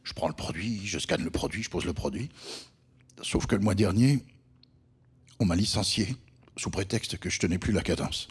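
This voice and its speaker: An actor playing a weary older retired man in a dark-comedy radio play, confessing in a deadpan, matter-of-fact way. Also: low-pitched, normal speed, pauses often, husky.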